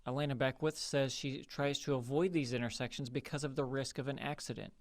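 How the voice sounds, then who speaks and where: A man giving a scripted TV news report in a measured, emphatic reporter's delivery. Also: mid-range voice, steady tone, dry recording, clean studio sound.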